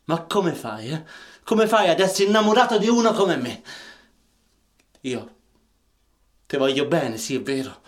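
A man playing a troubled, rough-talking Roman in a radio drama, with a Roman Italian accent and colloquial, emphatic delivery. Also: fairly high pitch, sing-song, unhurried, pauses often. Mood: anguished. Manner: colloquial, emphatic, short bursts of questions.

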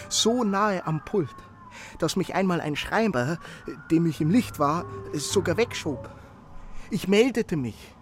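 A breathless eager young messenger. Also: male voice, a little high, slight background noise. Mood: anxious. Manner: stammering, earnest, hurried report. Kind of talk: radio play acting.

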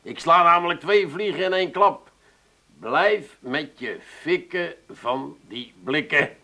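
An actor playing a penniless, stubborn brother-in-law in a radio comedy, self-important and stammering. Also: male voice, on the high side, slow pace, bright, forceful tone, exaggerated voice. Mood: smug. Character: put-upon, penniless, stubborn lodger brother-in-law, flustered and indignant. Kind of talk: 1980s radio comedy acting.

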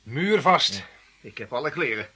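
An actor playing an impatient, wry male accomplice in a radio drama, with a husky voice. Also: medium pitch, sing-song, a little slow, pushed and bright.

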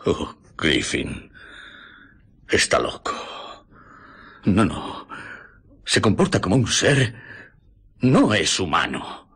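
An agitated doctor for a radio drama. The voice is very breathy and rough, leaping about in pitch. He speaks urgently and theatrically, stumbling over words as he pleads. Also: sing-song, slow, long pauses, a bit bright, exaggerated voice. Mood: shaken, grave. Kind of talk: Spanish radio drama acting.

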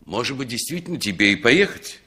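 A man playing an inquisitive, avuncular older traveller with a husky voice in a 1960s radio drama. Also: mid-range voice, sing-song, brisk, pushed and bright, heavily acted. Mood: curious.

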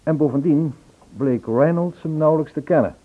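An actor playing an earnest, self-absorbed, worldly middle-aged composer in a radio drama, on a muffled, tinny old recording. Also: male voice, on the high side, moderate pace, lightly acted. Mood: earnest, indignant.

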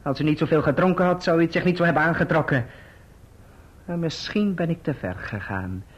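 An actor playing a scheming clerk in a radio play, obsequious and insinuating, on a dull recording. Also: male voice, a little high, animated, a little fast, breathy edge. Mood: defensive. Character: scheming, falsely humble male clerk, oily and self-satisfied.

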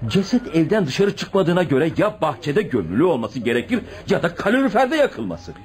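An actor playing an earnest, stubborn junior police detective in a radio play, deferential yet insistent. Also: male voice, on the high side, big pitch swings, moderate pace, darkish tone. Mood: earnest, convinced. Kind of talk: radio theatre acting.

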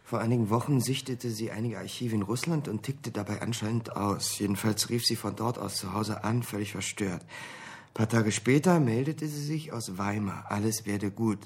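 An actor playing a businesslike office boss in a radio drama, matter-of-fact and polite, with a husky voice. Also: male voice, on the low side, normal speed. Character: businesslike male boss of an academic writing office.

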